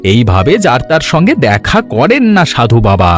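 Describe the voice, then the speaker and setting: A man voicing an excitable, gullible village husband in a cartoon, often flustered and stammering. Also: medium pitch, normal speed, soft background music, lightly acted. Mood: boastful, excitable. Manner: stammering, flustered, repeating filler words. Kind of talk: Bengali cartoon story voice acting.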